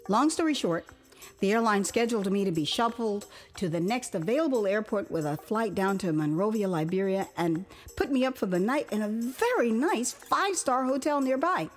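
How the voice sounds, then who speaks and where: An older American woman reads her memoir like an audio drama, with theatrical expression and wry, amused asides. Her voice sits at an average pitch for a woman. Music plays faintly behind the narration. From Houston, Texas, United States. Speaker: author reading her own memoir. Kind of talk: dramatized first-person storytelling narration.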